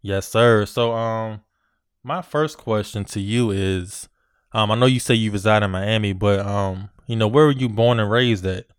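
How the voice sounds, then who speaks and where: A man hosting a casual podcast interview, relaxed and chatty with lots of fillers and friendly shout-outs. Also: on the low side, measured pace, clear, resonant tone, no echo, clean studio sound. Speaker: podcast host. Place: Virginia.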